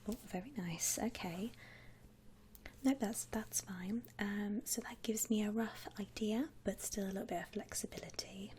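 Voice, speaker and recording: A woman whispering a soothing ASMR customer-service roleplay, playing a polite, reassuring shop assistant in an unhurried, breathy way. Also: medium pitch, steady tone, a little mellow, slight background noise.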